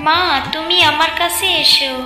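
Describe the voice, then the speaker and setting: A sly village sweet-seller acted by a woman in a fairy-tale cartoon, high-pitched and coaxing with a sing-song lilt. Her sweetness covers a wicked streak. The sound is echoey, with music clearly behind it. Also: fairly even intonation, normal speed. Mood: coaxing, syrupy. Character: sweet-talking village sweet-seller woman hiding a child-snatching menace.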